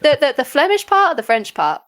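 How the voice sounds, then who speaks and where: High, animated, joking woman co-host. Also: fairly quick. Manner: joking, teasing. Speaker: podcast co-host. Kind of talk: playful conversational presenting.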